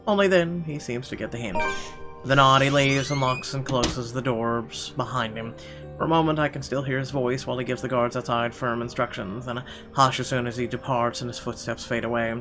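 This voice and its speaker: A man's voice: medium pitch, steady tone, a little fast, over music. Man narrating a horror visual novel. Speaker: let's player reading game narration.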